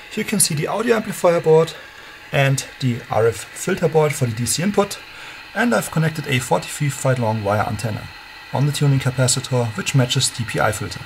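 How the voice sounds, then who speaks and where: A man giving a hands-on demonstration as a hobbyist presenter. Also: on the high side. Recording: clear background noise, handheld camera mic.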